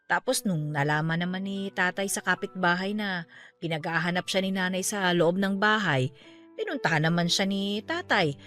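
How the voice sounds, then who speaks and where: Low-voiced grieving middle-aged aunt played by an actress. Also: female voice, moderate pace, fairly bright tone, natural voice. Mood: sorrowful recounting. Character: grieving aunt who just lost her parents, caring, steadfast. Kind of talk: Tagalog radio drama acting, naturalistic dialogue. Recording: music bed under the voice. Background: background music.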